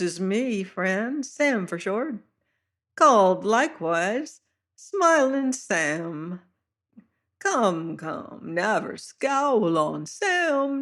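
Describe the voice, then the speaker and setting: A reader voicing a fat, sly sailor man who talks in a soft, high-pitched, falsely friendly voice in old sea dialect. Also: exaggerated voice.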